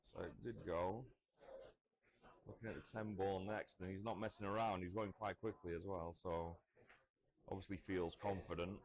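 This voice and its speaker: A man commentating live on a pool match, talking loudly and in a chatty way. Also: low voice, steady tone, moderate pace. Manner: loud, chatty, laughs easily. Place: commentary spot at a pool venue.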